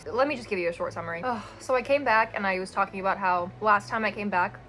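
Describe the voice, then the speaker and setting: A woman's voice: medium pitch, brisk, a bit bright. A young American woman talking casually to her camera, rambling with lots of fillers and restarts. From United States. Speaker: vlogger. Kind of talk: casual vlog talk to camera. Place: indoors, a rented home room.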